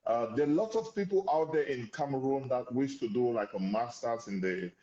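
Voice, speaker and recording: A man's voice: medium pitch, normal speed, mellow, roomy sound, dull audio. A man hosting an interview, asking loose and hesitant questions. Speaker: interview host.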